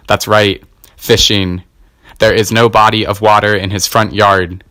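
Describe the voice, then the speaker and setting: Bright, crisp-voiced young man performing spoken word. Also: on the low side, dry recording. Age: young adult. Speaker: student spoken word poet.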